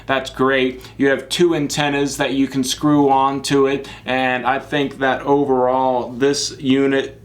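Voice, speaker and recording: A man's voice: medium pitch, level tone, unhurried. A man giving a casual, informal gear review to camera, talking in a chatty, emphatic way with asides. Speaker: product reviewer, live sports production crew.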